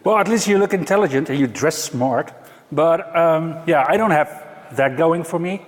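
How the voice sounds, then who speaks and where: A man's voice: fairly high pitch, normal speed. A man from the Netherlands with a Dutch accent, giving a conference talk with dry, self-mocking humor. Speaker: conference presenter. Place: large conference hall. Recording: reverberant hall.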